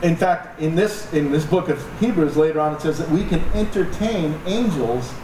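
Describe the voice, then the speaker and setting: Joking pastor in an echoey hall. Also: male voice, on the high side, normal speed. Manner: conversational and joking, with asides to the congregation. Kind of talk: sermon. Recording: echoey room, distant mic, noisy.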